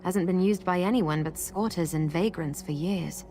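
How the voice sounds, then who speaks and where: A woman playing a guarded, determined guide in a fantasy video game, speaking in a measured, resolute manner. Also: fairly low pitch, darkish tone, a little hiss or hum, lightly acted. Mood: wary, informative.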